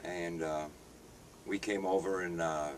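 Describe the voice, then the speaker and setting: A man's voice: sits low, steady tone, measured pace. A man in his fifties from Hungary, answering interview questions hesitantly, with many fillers and restarts. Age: 50s. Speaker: street paper vendor and artist. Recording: distant mic with faint background noise.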